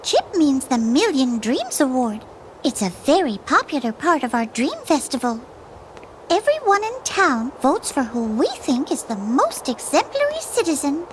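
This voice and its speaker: Kindly female cartoon game character: prim, polite, high and expressive, faint noise behind. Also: a little slow, poor audio. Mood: explaining. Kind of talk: animated video game voice acting.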